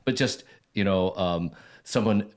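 A man's voice: on the low side, unhurried. A man hosting a casual podcast conversation, joking around and tossing in short agreements. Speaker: podcast host. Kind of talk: casual chat.

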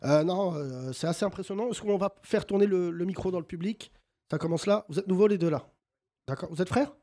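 A man hosts a live comedy talk show, teasing guests and the crowd with quick jokes. His voice sits a little high for a man. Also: dark tone. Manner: teasing, rapid-fire jokes with audience. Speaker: host.

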